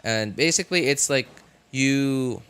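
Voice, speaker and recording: A young man doing casual livestream commentary on a game, rambling and halting as he repeats himself. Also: medium pitch, fairly bright tone, clean tone. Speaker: game streamer.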